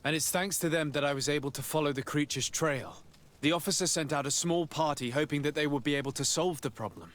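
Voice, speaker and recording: Man acting as formal, resolute noble envoy, higher-pitched. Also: fairly quick, a bit bright, natural voice. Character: noble male envoy and fighter, polite and resolute. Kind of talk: video game cutscene acting.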